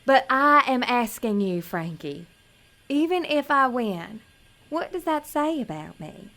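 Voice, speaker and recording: A woman playing an innocent, earnest small-town pageant girl in an audio drama, self-doubting and gentle. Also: fairly high pitch, sing-song, measured pace, full character voice. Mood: hurt, self-doubting.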